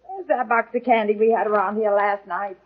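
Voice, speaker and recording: A woman's voice: medium pitch, fairly even intonation, fairly quick, narrow, tinny audio, natural voice. An actress playing a nosy, blunt, grumbling old grandmother in a 1930s radio comedy. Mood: querulous, nosy. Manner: blunt, querulous.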